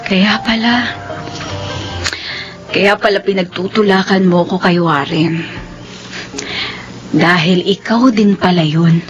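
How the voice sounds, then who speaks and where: A woman's voice: mid-range voice, lightly acted, rough recording. A woman with a Filipino accent acting an emotional, shy young bride-to-be in a romantic drama, with a hesitant, pouting manner. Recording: music bed under dialogue.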